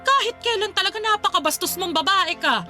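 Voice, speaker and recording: A woman's voice: very high-pitched, expressive, brisk, sharp tone, faint music behind, full character voice. A woman playing an angry, overbearing older Filipino mother who nags and scolds sarcastically in a radio drama. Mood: indignant, scolding. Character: angry, overbearing, nagging mother of a grown son.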